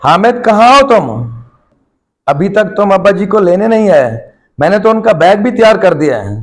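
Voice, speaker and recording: An actor playing a callous, irritable grown son in an audio drama, curt and unfeeling. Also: male voice, high voice, animated. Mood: annoyed. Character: callous, irritable grown son begrudging his old father. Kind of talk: Urdu moral audio drama acting.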